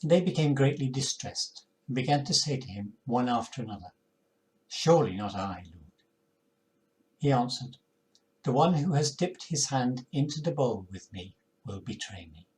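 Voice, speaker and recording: A man's voice: medium pitch, lively intonation, moderate pace, a little mellow, rough recording. Older man reading scripture solemnly. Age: older, 60s or 70s. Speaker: congregation member reading scripture. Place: living room at home, indoors.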